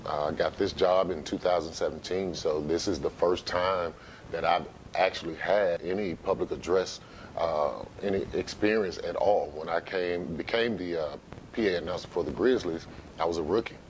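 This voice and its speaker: A middle-aged American man giving casual interview answers as a guest, with halting, conversational phrasing. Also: rough recording. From Memphis, United States. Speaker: interview guest, sports arena announcer. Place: living room, seated on couch. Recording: faint low background noise.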